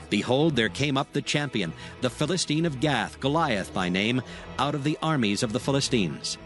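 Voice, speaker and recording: Male narrator for dramatized scripture audiobook, expressive range, faint music underneath. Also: mid-range voice.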